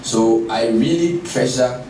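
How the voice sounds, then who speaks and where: A man speaking in an animated, emphatic way in a conversational interview. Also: medium pitch, takes it slowly, noisy background. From Ghana. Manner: animated, emphatic, laughs while telling stories. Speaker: guest speaker. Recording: roomy, distant mic with background ambience.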